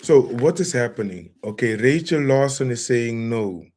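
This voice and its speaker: A man's voice: medium pitch, expressive, moderate pace, darkish tone. A man hosting a live-streamed lecture, greeting viewers by name and asking where they are watching from. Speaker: livestream host.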